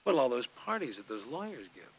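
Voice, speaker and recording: A man acting patient sitcom father, affectionate, gently wry, swinging intonation, noisy old soundtrack. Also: mid-range voice, sing-song, fairly quick, close to an everyday voice. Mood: explaining, wry. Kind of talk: naturalistic television sitcom acting.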